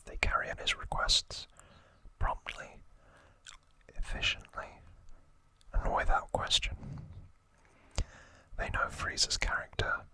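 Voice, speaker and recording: A man whispering in a soft, breathy, deliberate way as he hosts ASMR trivia, moving between reading and casual asides. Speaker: host of an ASMR channel.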